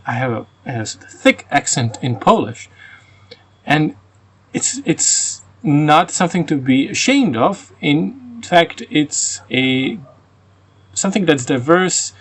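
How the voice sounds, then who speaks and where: A man's voice: on the high side, very animated, takes it slowly. A man with a Croatian and Russian accent, reviewing a book casually and venting his frustrations, with fillers and restarts. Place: rural home.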